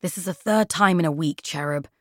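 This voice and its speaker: A wry, geeky dad from a children's story, read by a woman. He scolds mildly and affectionately, in a lowish voice recorded dry. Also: fairly quick, dry recording, close to an everyday voice. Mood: wry scolding. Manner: wry, mild. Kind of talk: children's audiobook character voice.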